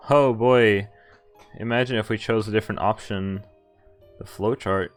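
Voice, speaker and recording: A young man with a fairly low voice streams a visual novel. He reads the story text aloud, then drifts into loose, joking asides with some hesitation. Game music plays faintly behind him. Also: fairly even intonation, moderate pace. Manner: casual, jokey, hesitant asides. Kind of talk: casual live stream commentary mixed with read-aloud narration.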